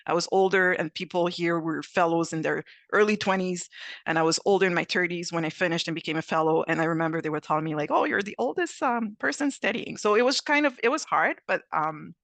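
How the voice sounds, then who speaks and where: Bright, dry-voiced woman. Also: lowish voice, no echo. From Quebec, Canada. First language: French. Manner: candid, reflective, with frequent restarts. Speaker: panelist. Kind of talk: panel discussion over video call. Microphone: video call.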